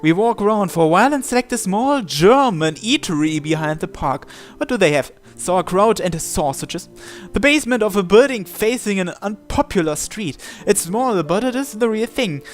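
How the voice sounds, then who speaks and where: High-pitched man reading a story aloud. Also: lively intonation, moderate pace, hardly any pauses, soft background music. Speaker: game streamer reading visual novel text aloud. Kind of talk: narrated read-aloud of a visual novel.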